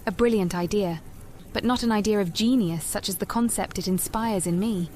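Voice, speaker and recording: A woman's voice: mid-range voice, normal speed, mellow, a little hiss or hum, natural voice. A woman playing a composed, resolute adventurer in a fantasy video game, speaking scripted lines. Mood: measured.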